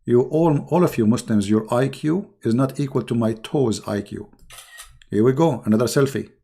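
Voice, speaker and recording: Mocking gravelly man. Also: medium pitch, normal speed, mellow. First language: Arabic. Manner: mocking, taunting and insulting. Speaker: show host. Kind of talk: combative live religious debate talk.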